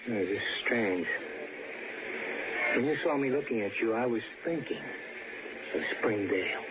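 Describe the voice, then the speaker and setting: A man's voice: fairly low pitch, animated, a little slow. An actor in a 1960s radio suspense play, playing an enigmatic, morbid male stranger who probes and insinuates with hesitant phrasing. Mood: insinuating. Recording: noisy muffled old radio recording.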